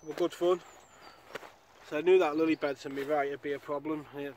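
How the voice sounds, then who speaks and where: A man's voice: fairly high pitch, fairly even intonation, a little fast, darkish tone, slight background noise. A young man chatting casually about the fish he catches, recorded outdoors on a pond bank with an action camera nearby. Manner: chatty, upbeat, with frequent fillers. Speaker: angler vlogger. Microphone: action camera mounted nearby.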